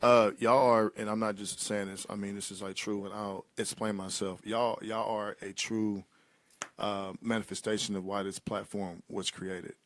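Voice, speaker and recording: A man hosting a casual interview, speaking sincerely and a little haltingly, often repeating his phrases. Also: on the low side, flat delivery. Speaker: talk show host.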